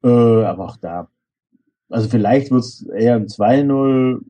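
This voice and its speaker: A man's voice: medium pitch, unhurried, mellow. A man from Stuttgart, a guest on a podcast, chatting casually and jokingly about football and laughing often.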